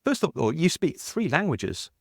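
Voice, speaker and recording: A man's voice: a little high, wildly swooping pitch, normal speed, creaky, no room sound, clean studio sound. A middle-aged man hosting a podcast interview in a conversational, enthusiastic and wordy way. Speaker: podcast host.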